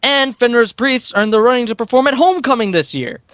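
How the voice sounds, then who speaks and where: A man's voice: extremely high pitch, animated, quick pace, a bit bright, no room sound, highs cut off. A man playing a breezy, confident student music promoter in an amateur radio play. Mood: breezy, assured.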